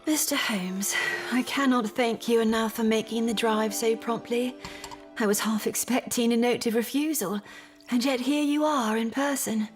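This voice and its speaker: A woman's voice: a little high, fairly even intonation, normal speed, music in the background, lightly acted. A woman playing a polite, anxious young Victorian lady in an audio drama, with formal, earnest speech. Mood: grateful.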